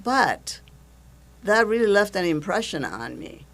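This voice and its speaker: Older woman, gravelly voice. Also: mid-range voice, a little slow. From Italy. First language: Italian. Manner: lively storytelling, chuckles often. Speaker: guest, events coordinator. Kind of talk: casual interview chat.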